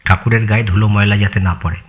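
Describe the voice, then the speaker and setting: A man's voice: fairly low pitch, steady tone, quick pace, close to an everyday voice. An actor playing a loyal male family employee in an audio story, answering politely, hesitantly and with guarded deference. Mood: deferential. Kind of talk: audio story dramatised dialogue.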